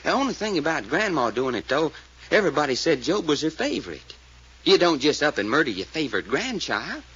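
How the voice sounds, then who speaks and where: Radio drama sidekick: excitable Southern good old boy, highish voice, exasperated questions, muffled vintage recording. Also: brisk, husky, exaggerated voice. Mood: doubtful. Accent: American Southern drawl. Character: folksy, excitable, grumbling cowboy-type detective sidekick. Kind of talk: 1940s radio serial acting.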